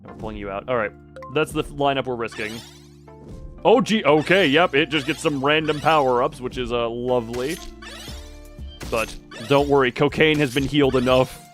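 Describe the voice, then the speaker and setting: Rambling, excitable male game streamer over music. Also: medium pitch, normal speed. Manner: rambling, excitable, talking to himself. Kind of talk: casual live gaming commentary, thinking aloud.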